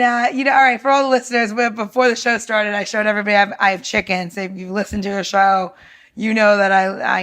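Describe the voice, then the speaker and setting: A woman's voice: mid-range voice, fairly bright tone. A woman co-hosting a podcast, talking in a casual, instructional way with an informal, emphatic and jokey manner. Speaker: podcast co-host demonstrating drink recipes.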